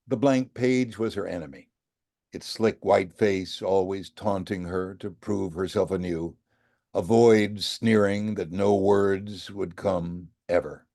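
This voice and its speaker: An older American man reading literary fiction aloud as a professional storyteller, with measured, emphatic, dramatic phrasing. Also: low voice, warm tone. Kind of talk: expressive audiobook-style literary narration.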